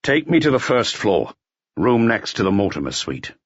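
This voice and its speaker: A man's voice: lowish voice, big pitch swings, normal speed, breathy edge, no echo, highs cut off. A man voicing a wounded, curt police inspector who gives orders in a mystery audiobook. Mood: curt, urgent. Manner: curt, commanding. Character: wounded, gruff, commanding male police inspector.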